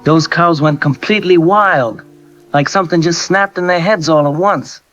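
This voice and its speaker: A man's voice: on the high side, normal speed, fairly bright tone, faint music behind, lightly acted. A man playing a shaken, plain-spoken farmer giving an eyewitness account in a radio drama news interview. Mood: shaken, alarmed. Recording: dull lo-fi field audio.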